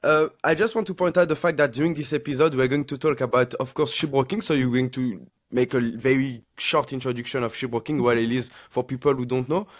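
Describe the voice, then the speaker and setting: A man's voice: mid-range voice, steady tone, a little fast. A man, hosting and interviewing a guest on a podcast. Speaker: podcast host.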